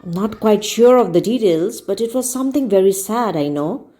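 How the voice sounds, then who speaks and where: A woman narrates a comic novel in a mellow, relaxed voice at a medium pitch. She reads in a measured storytelling style, made for listeners to follow along, and leans expressively on key words. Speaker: storyteller reading a book aloud.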